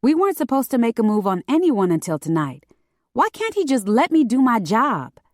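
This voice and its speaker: Woman voicing a brash, dramatic young woman, pitched high. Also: sing-song, measured pace, no echo, clean studio sound. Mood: irritated, impatient. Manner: overly dramatic, petulant, profane. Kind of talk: audiobook character dialogue.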